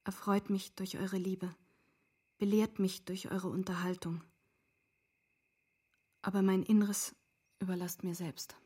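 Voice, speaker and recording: An actress playing a grave young woman who reads out her letter of renunciation in a measured, restrained radio drama monologue. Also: mid-range voice, level tone, a little mellow, slightly rough. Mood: solemn, resigned. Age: young adult.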